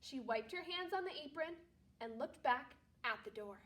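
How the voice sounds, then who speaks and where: A woman with a high-pitched voice speaks as a primary school teacher reading to her class. Her delivery is animated and addressed straight to young children. She is picked up by a microphone some distance away. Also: moderate pace. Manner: animated, exclamatory, talks directly to young children. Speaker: second grade teacher. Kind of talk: children's storybook read-aloud.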